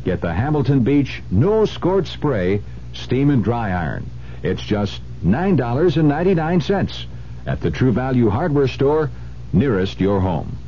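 An American man reads a radio commercial in a mellow, very animated voice of medium pitch. The old recording is dull, with a faint noisy hum. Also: a little slow. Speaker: commercial spokesman. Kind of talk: scripted radio advertisement.

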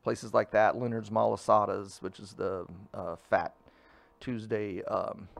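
A man in his fifties who runs a food truck, talking casually in an interview, relaxed and rambling with fillers. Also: fairly low pitch, a little slow, creaky.

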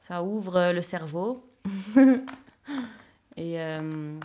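Slow, lowish-voiced woman, relaxed. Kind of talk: casual interview testimony.

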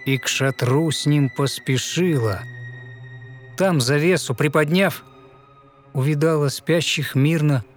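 A man narrating a fairy tale in verse with measured, theatrical, expressive recitation. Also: a little high, soft background music.

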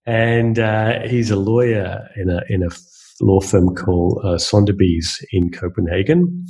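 A man's voice: on the low side, measured pace, warm tone, husky. A man hosting a podcast, introducing a guest in a loose, hesitant way with many fillers and restarts. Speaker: podcast host. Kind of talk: casual podcast chat.

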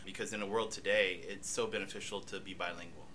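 A secondary school director explaining calmly and agreeably in a panel discussion. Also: deep voice, fast talker, slight background noise.

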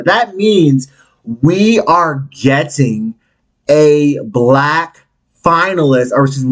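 A man in his late thirties hosting a solo podcast, talking bluntly and candidly with frequent profanity and animated swings in his delivery. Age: 30s. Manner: candid, animated, big swings in pitch and volume. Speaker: podcast host.

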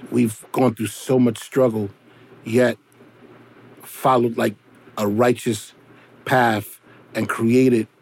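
A man, a hip hop musician, talking reflectively and earnestly to introduce his songs, in measured phrases with short pauses. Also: medium pitch, darkish tone, breathy edge. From South Bronx, New York, United States.

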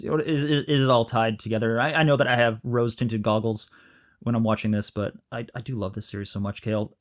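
A man in his thirties from Malaysia, co-hosting a podcast and chatting enthusiastically, with a rambling way of talking. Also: medium pitch. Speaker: podcast co-host. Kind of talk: casual chat.

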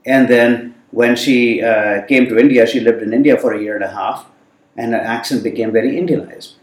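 A man's voice: medium pitch, normal speed. Man recalling his youth, echoey and distant. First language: Hindi. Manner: thoughtful, many fillers. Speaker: guest. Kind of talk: reflective storytelling in conversation. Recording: roomy sound from a distant mic.

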